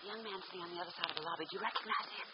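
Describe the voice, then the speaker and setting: An actress playing a poised, playful secretary, archly polite, in a mid-century radio drama. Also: female voice, on the low side, racing, natural voice. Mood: playful, alert. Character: poised, playful, quick-witted secretary to a lawyer. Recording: muffled, very noisy old radio recording.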